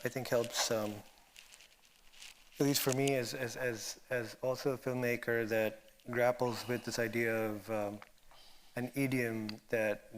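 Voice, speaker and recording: A man moderating an academic film discussion, phrasing his thoughts haltingly with many pauses. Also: medium pitch, slight background noise. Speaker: moderator, filmmaker.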